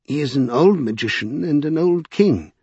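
A man's voice: a little high, lively intonation, normal speed, a little mellow, slightly rough, dry recording, lo-fi sound, voice pushed up. A man voicing a bookish, thoughtful magician who lectures precisely in an audiobook. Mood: brief, matter-of-fact. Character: pedantic, bookish, thoughtful male scholar magician.

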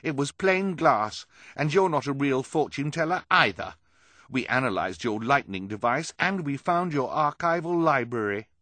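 Male reader doing a firm, accusing young girl, raised pitch, storybook. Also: normal speed, hardly any pauses, a bit bright, dry recording, lightly acted. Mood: angry firm. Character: determined, angry girl. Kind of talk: audiobook character voice.

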